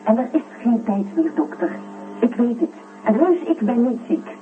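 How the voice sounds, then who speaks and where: A thin, tinny radio sound with music behind carries an actress playing an anxious woman astronaut. Her high voice is earnest and insistent, as if she must prove her sanity in a sci-fi radio play. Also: lively intonation, normal speed, distant mic, natural voice. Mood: worried. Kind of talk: Dutch science fiction radio drama acting.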